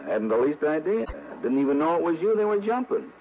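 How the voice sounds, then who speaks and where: An American actor playing a brash, teasing police lieutenant in a 1960s radio drama, brisk, slangy and needling. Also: male voice, fairly high pitch, big pitch swings. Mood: exasperated. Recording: dull, noisy old radio recording.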